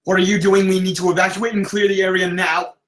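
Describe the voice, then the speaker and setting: A man's voice: high voice, flat delivery, quick pace, fairly bright tone. A man reading an anxious, cautious technician in a screenplay, with a nervous manner. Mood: alarmed.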